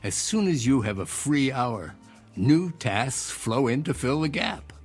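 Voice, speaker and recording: A courteous, cryptic old inventor, swooping delivery. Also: male voice, medium pitch, lively intonation, soft background music. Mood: persuasive. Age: older. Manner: measured, formal, musing, persuasive. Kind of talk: audio drama acting.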